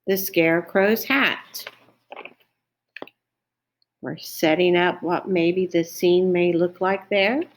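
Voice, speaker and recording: A woman's voice: on the low side, steady tone, takes it slowly, long pauses. A woman reading a children's story aloud, careful and explanatory, with teacherly asides.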